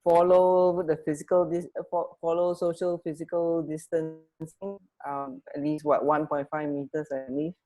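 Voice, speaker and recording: A woman co-hosting a radio show in casual chat, talking in a chatty, halting, rambling way. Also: deep voice, flat delivery, mellow, poor audio.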